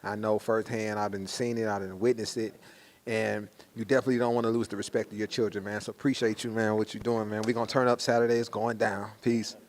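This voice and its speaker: A man's voice: on the low side, level tone, fast. A man interviewing guests in a casual hype style, full of slang and frequent "man" and "yo" as he promotes a stage play.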